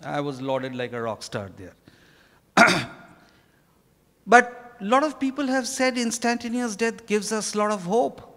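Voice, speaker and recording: A middle-aged man with an Indian accent, speaking as an interviewed author in deliberate, halting, emphatic phrases. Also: high-pitched, lively intonation, bright, forceful tone. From Kolkata, India. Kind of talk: reflective on-stage interview answers. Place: large hotel ballroom stage. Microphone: handheld microphone. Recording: echoey hall PA with long reverb.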